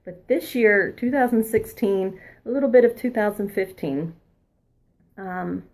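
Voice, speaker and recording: A woman past her twenties giving a spiritual oracle card reading, earnest and encouraging, sometimes dropping into a soft whisper. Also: mid-range voice, a little slow. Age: adult past her twenties.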